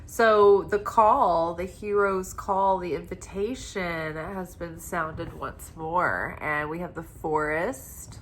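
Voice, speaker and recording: A woman tarot reader talks slowly in a slightly low voice, stuffed up and congested from crying. Her manner is soft and meandering, drifting through spiritual talk. A faint hum of room noise sits behind her. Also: fairly even intonation. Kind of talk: weekly tarot reading talk.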